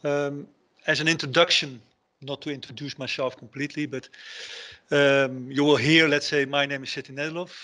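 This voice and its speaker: A man's voice: on the high side, steady tone, normal speed, pushed and bright. A middle-aged man from the Netherlands with a Dutch accent, giving an online conference presentation over a headset on a video call, hesitant with many ums and restarts. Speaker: conference presenter, terminal IT director.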